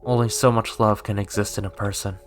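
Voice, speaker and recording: A man's voice: fairly low pitch, steady tone, brisk, soft background music, lightly acted. A man voicing a clingy, jealous woman from a visual novel, pleading in a needy, whiny way. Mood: bitter, resigned.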